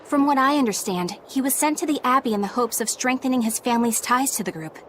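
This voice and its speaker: Woman playing a calm principled young exorcist, fairly high voice, faint background noise, game scene. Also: quick pace, lightly acted. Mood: explaining. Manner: composed, sincere. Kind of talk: game voice acting.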